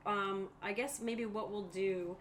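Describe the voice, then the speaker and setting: A woman running a tabletop game as game master, narrating and thinking aloud with hesitant pauses. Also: mid-range voice, steady tone, a bit bright, a little hiss or hum. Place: tabletop game session with other players.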